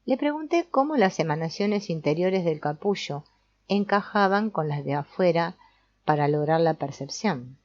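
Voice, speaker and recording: A woman reading an audiobook as narrator in a measured, explanatory way. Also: on the low side, expressive. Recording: dull, lo-fi sound.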